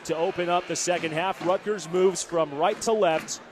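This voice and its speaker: A man's voice: sits high, fairly even intonation, moderate pace. An American man calling live radio play-by-play from a sports arena in a clipped, running present-tense style. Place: sports arena broadcast position. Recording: loud crowd and arena noise behind. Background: roaring crowd, arena music.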